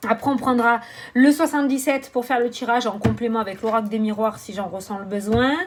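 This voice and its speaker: A woman's voice: on the high side, a little fast, slight background noise. A woman, chatting animatedly to camera as a tarot reader, swearing and joking about herself. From southern France. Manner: animated, swearing, laughing, self-mocking. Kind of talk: casual chatty vlog.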